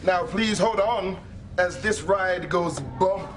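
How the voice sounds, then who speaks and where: High, slow, creepy elevator operator. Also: male voice, over music. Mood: ominous, teasing. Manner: theatrical, ominous, deliberately drawn-out delivery. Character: creepy, courtly male haunted-ride elevator operator. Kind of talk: sketch comedy acting.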